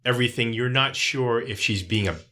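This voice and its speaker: A man's voice: mid-range voice, brisk, pushed and bright. A man hosting a casual book club discussion, talking through a story thoughtfully. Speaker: podcast host.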